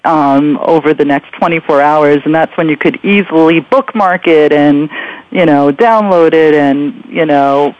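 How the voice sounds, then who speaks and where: A woman hosting a radio talk show, chatting in a casual, informal and rambling way. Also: deep voice. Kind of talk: casual interview chat. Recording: muffled, dry internet radio sound.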